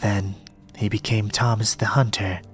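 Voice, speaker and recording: A young man reading a storybook in a soft-spoken, partly whispered ASMR style, with a gentle, measured delivery. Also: lowish voice, flat delivery. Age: young adult. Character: polite soft-spoken young male reader, quietly eerie. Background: soft music.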